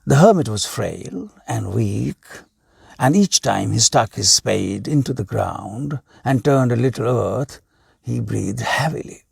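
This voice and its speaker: A man reading a tale aloud as a scripted narration, deliberately, with pauses between short phrases. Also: medium pitch, expressive, gravelly, slightly rough, no room sound, studio quality.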